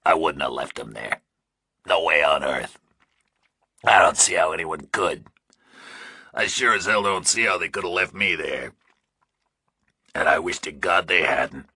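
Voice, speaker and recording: Man acting a gruff, weary ex-Marine, very deep. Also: lively intonation, unhurried, long pauses, pushed and bright, dry recording, clean studio sound, exaggerated voice. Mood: bitter, resigned. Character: Hobart, bitter wounded ex-Marine, blunt and weary.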